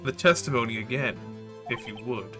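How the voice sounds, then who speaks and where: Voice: mid-range voice, normal speed, over music, lightly acted. A streamer voicing a stern old male judge in a courtroom video game, formal and admonishing.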